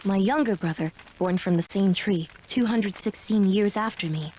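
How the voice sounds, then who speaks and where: A woman plays an ancient, courteous tree spirit in an anime game. The medium-pitched voice is formal and articulate, with slight background ambience. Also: normal speed, a little mellow, a little hiss or hum, lightly acted, low-quality recording. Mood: composed. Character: composed, courteous, centuries-old genderless tree-born being. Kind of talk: anime video game voice acting.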